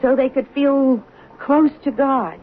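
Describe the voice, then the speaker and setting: An actress playing an obsessed, righteous waitress in a 1970s radio drama, solemn and moralizing with a frantic, unhinged edge. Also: female voice, high-pitched, slow pace. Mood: righteous, preachy. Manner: earnest, solemn moralizing that rises into frantic protest. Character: obsessed soap-opera fan waitress, righteous, fervent, unhinged. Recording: muffled, noisy old radio broadcast.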